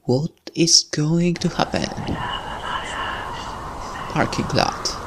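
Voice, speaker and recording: A man's voice: medium pitch, gravelly. A man doing a let's play, reading a visual novel's story aloud dramatically with casual asides. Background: game music.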